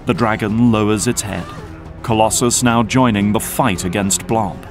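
A man's voice: lowish voice, normal speed, soft background music. A man narrating an action audio drama from a script.

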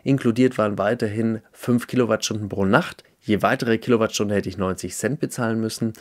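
A man in his thirties reviewing a campsite in a relaxed, chatty way, talking from inside his camper van with a clip-on mic. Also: lowish voice, no room sound, studio quality. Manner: chatty, animated, gesturing with hands. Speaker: camping vlogger. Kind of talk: casual review vlog with voice-over. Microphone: small clip-on mic.

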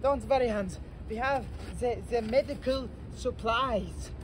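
A man's voice: extremely high pitch, a little slow. A young man playing a desperate, fanatical German soldier in an amateur war parody, stammering and melodramatic. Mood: fervent, deluded. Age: young adult. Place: outdoors on an urban vacant lot. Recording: noisy outdoor recording with traffic.

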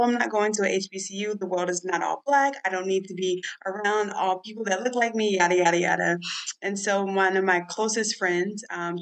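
A woman's voice: mid-range voice, fairly bright tone. A woman alumna on a video call panel, telling her story in a candid, chatty, self-mocking way.

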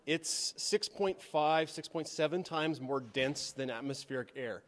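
A man's voice: fairly high pitch, unhurried. Enthusiastic man in an echoey hall. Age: 30s. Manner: enthusiastic, emphatic. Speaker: science teacher. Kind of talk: informal science explanation in an interview. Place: large school science classroom. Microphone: handheld reporter microphone. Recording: long echo of a large room.

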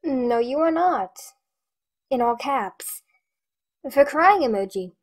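A woman's voice: sits high, expressive, slow, exaggerated voice. A woman playing a naive, puzzled young teenage girl in an audio drama.

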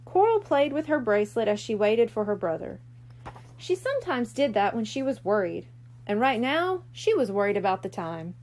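A woman reading a children's story aloud in an expressive narration style. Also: fairly high pitch, moderate pace. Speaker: storybook reader.

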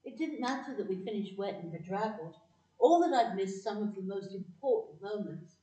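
A woman's voice: medium pitch, expressive, moderate pace, warm tone, lightly acted. A woman playing a narrator fondly recalling her childhood in a community audio play. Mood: nostalgic. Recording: dull, echoey, slightly distant.

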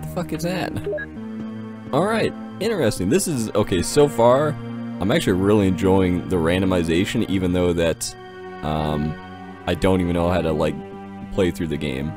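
Deep-voiced man doing sweary gameplay commentary. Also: normal speed, music in the background. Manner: sweary, joking, slangy. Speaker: gaming video host.

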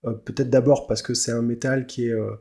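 Gravelly French-speaking host with a headset. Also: male voice, mid-range voice, little pitch movement, brisk, warm tone. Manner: chatty, many fillers. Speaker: podcast host. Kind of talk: casual interview hosting.

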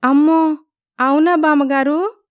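A woman's voice: high voice, fairly even intonation, slow pace, no room sound, studio quality, voice pushed up. A woman voicing an anxious young wife in a cartoon story, polite and plaintive. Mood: worried.

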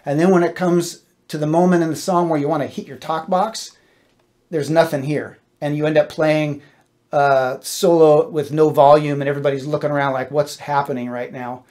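A man's voice: a little high, fairly even intonation, normal speed, a little mellow. A middle-aged American man giving casual, wry instructional tips as a gigging guitarist, speaking into a close mic in a small home studio. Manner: informal, wry and self-deprecating. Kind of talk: casual instructional talk to camera. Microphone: close stand-mounted dynamic mic.

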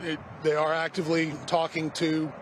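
Halting fire spokesman outdoors. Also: a little high, completely flat delivery. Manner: halting, repeats words when unsure. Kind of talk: press briefing. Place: outdoors by a parking lot. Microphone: news camera mic, close. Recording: outdoor street noise.

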